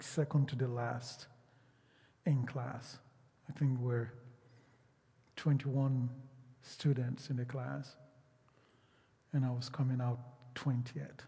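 Husky slow-preaching man. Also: mid-range voice, warm tone, long reverb tail. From Nigeria. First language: Yoruba. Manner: slow, emphatic preaching with drawn-out phrases and repetition. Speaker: pastor. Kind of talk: sermon.

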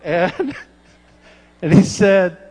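A man preaching in church, his voice a little higher than most. He tells comic stories and acts out the dialogue as he goes. The sound carries a long church-hall reverb. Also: very slow. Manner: animated storyteller, acts out dialogue, laughs at own jokes. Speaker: pastor. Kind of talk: sermon with humorous stories.

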